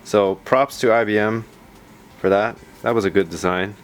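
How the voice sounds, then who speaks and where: A man's voice: on the low side, steady tone, normal speed, clean tone. A man hosting a tech video in a casual, chatty way, with rambling, self-deprecating asides. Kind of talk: casual vlog narration.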